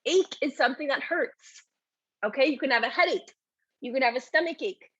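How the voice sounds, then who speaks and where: A woman's voice: sits high, brisk, a bit bright, husky, dry recording, muffled recording. A woman teaching a live online English lesson in a chatty way, reading out and answering questions from the chat.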